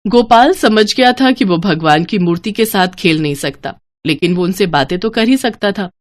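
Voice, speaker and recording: Animated woman narrating a children's story. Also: mid-range voice, moderate pace.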